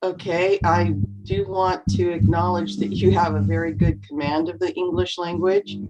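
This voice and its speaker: A woman coaching learners in an online English class, explaining calmly and encouragingly over a video call. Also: lowish voice, normal speed, muffled recording, heavy background noise. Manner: encouraging, explanatory, acts out nervous voices. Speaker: co-host and speaking coach.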